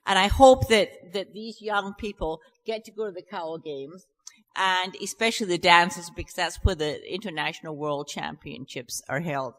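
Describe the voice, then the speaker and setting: A woman's voice: lowish voice, normal speed, a bit bright. Chatty Scottish woman in a reverberant hall. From Scotland. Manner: nervous, chatty and self-deprecating, with laughs and restarts. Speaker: festival singer introducing a song. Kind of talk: chatty stage talk to an audience. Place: church hall. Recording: live recording in a reverberant hall.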